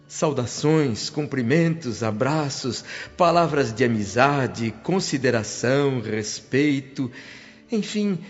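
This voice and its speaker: A man's voice: mid-range voice, natural voice. A man playing a humble, devout spirit who narrates a radio drama with reverent, emotive expression. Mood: moved, awed. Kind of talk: dramatic radio-play first-person narration. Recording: dull lo-fi audio with music behind. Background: clear background music.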